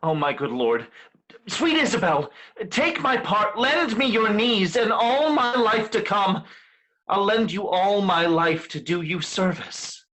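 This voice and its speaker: A man's voice: high-pitched, lively intonation, slow pace, sharp tone, full character voice, rough recording. A man playing a devoted, desperate young wife, pleading earnestly in a Shakespearean stage reading.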